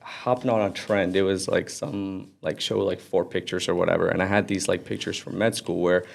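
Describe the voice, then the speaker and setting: A man's voice: deep voice, flat delivery, normal speed, gravelly. A man in his thirties, born in Lebanon and raised in Florida, chatting easygoingly as a podcast guest about his work as a doctor. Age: 30s. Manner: easygoing, often repeats "yeah, yeah". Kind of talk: casual podcast chat.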